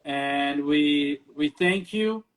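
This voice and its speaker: A man's voice: on the high side, drawn-out words, fairly bright tone. A man hosting a podcast interview, thanking his guest at length and chiming in casually. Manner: effusive, thanks at length, jumps in. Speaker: podcast host.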